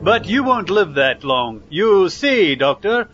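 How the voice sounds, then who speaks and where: An actor playing a pompous, melodramatic protector of time with a grandiose, theatrical manner in a comedy audio drama. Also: male voice, sits high, big pitch swings, slow, fairly bright tone, muffled recording, soft background music, heavily acted. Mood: grandiose menace. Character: pompous self-important male protector of time, melodramatic villain.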